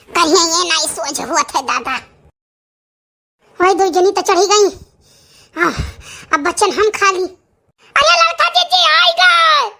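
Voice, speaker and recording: A performer voicing a fretful, panicky cartoon village woman with a squeaky high voice and an Awadhi accent, wailing and pleading in comic exclamations. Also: creaky, sharp tone, full character voice. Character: panicky squeaky village women fleeing a tiger, fretful and squabbling. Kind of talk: animated comedy dialogue in cartoon skit.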